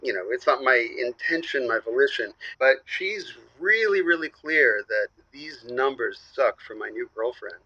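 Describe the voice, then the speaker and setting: A man's voice: mid-range voice, expressive, moderate pace, hardly any pauses, bright, forceful tone, lo-fi sound. An actor playing an earnest, hesitant caller whose life is run by his mother's equations, talking with many restarts. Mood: earnest, deadpan. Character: male caller Lewis ruled by his mother's math. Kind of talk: improvised comedy call-in radio acting.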